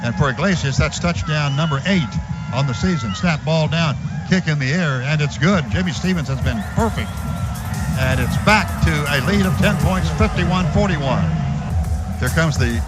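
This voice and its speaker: An older man calling a college football game play-by-play on the radio, brisk and rising to a shout on big plays. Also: fairly high pitch, expressive, loud music behind the voice. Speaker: play-by-play announcer. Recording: dull lo-fi radio broadcast with loud background noise.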